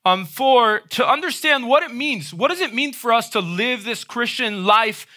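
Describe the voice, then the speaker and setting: A man preaching a sermon in a conversational way, often checking in with a quick "right?". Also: very high-pitched, lively intonation, bright, forceful tone. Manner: conversational, frequent "right?" tag questions.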